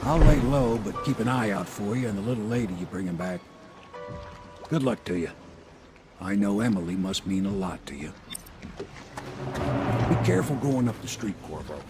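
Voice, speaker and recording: An actor playing a loyal old working-class river boatman in a video game, gruff, folksy and gravelly. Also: on the low side, animated, normal speed, pauses often, music in the background, close to an everyday voice. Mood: earnest, cautioning. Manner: folksy, plain-spoken. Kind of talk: video game character dialogue.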